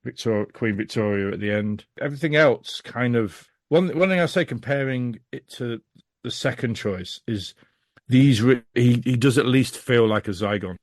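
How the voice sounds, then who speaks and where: A man's voice: medium pitch, moderate pace, no echo, clean studio sound. A man giving a casual podcast review as a guest, speaking in a rambling, emphatic, frank way.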